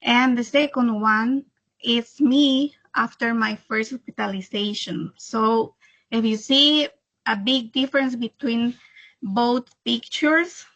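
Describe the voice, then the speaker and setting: A woman in her thirties from El Salvador, speaking English with a Salvadoran Spanish accent, telling her own story in a slow, careful, slightly halting way over a video call. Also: fairly high pitch, a bit bright. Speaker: webinar speaker. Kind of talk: personal testimony presentation. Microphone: computer microphone on video call. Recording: muffled video-call audio.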